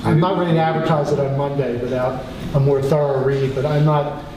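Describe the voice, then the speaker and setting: A man making measured, cautious remarks at a local council meeting. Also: mid-range voice, fairly even intonation, dark tone. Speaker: participant in a borough council meeting. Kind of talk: spoken remarks at a public meeting. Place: indoor council meeting room. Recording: very echoey room, clear background noise.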